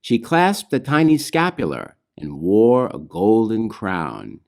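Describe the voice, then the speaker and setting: Slow, expressive man reading storybook verse. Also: fairly low pitch, gravelly. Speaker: author reading own children's book. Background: music.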